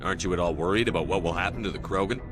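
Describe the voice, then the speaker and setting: A man's voice: deep voice, rapid-fire, natural voice. A man playing a stern, decisive military commander in a video game, terse and direct. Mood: probing. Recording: music behind the voice.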